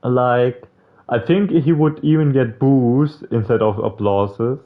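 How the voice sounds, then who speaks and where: A man's voice: mid-range voice, takes it slowly, phone-quality sound. A man doing a casual, informal game playthrough, reading text aloud with stumbles and some swearing and adding his own comments. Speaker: let's player reading a visual novel aloud. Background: game music.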